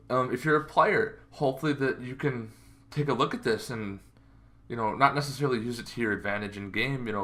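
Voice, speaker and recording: Male gaming-series host, medium pitch, casual and enthusiastic explainer, informal with emphatic phrasing. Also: fairly bright tone.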